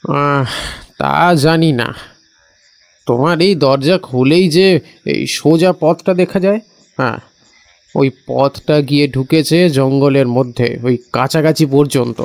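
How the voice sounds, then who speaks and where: A man playing an evasive village local who knows dark secrets, talking hesitantly and coaxingly in an audio horror story. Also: fairly high pitch, a little slow, long pauses, no room sound. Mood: grave warning.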